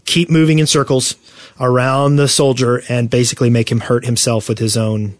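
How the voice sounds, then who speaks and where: A man with an average-pitched voice chats as a gaming podcast co-host. He explains things in a wry, informal manner, and the recording is dry, with hardly any room sound. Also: flat delivery, normal speed, no room sound. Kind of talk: casual gaming chat.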